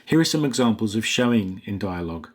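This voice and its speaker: A man narrating a scripted lesson as a writing coach, in a measured, explanatory way. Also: fairly low pitch, expressive.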